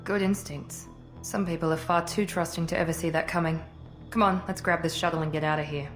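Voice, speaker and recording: An actress playing a cold, confident, ruthless project director in a sci-fi video game, with a clipped, dismissive manner. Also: female voice, sits low, fairly even intonation, a little fast, close to an everyday voice. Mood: smug, curt. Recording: music behind the voice.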